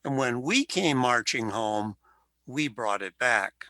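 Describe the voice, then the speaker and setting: An actor playing the ghost of a World War One veteran, telling his story slowly in an amateur radio play. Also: medium pitch, lively intonation, bright, forceful tone, no room sound. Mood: grave.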